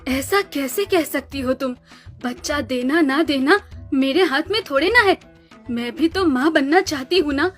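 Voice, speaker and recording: Woman playing a gentle elder cow, high voice, wounded, pleading, cartoon story, music behind. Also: a little slow. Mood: hurt, pleading. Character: gentle, hurt eldest sister cow.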